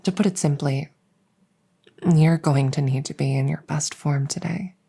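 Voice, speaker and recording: A woman voicing a devoted, gentle vampire maid in an ASMR roleplay, soothing and polite, close to the mic. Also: deep voice, fairly even intonation, normal speed, gravelly, breathy edge, heavily acted. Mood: dutiful, gentle. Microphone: close stereo condenser mic.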